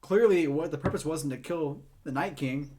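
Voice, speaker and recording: A man's voice: a little high, moderate pace, a loud crowd. A young man co-hosting a podcast, chatting casually and retelling scenes with laughs. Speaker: podcast co-host. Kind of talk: casual chat.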